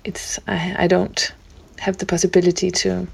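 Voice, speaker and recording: Gravelly woman, hesitant and thoughtful. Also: lowish voice, little pitch movement, moderate pace, a little mellow. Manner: hesitant, with many fillers and restarts. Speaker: guest musician. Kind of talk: casual conversation.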